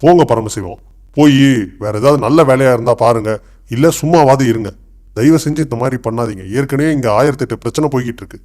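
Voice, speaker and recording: A man playing formal, authoritative figures of an old Indian kingdom in an animated moral tale. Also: medium pitch, fast talker, natural voice. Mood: exasperated.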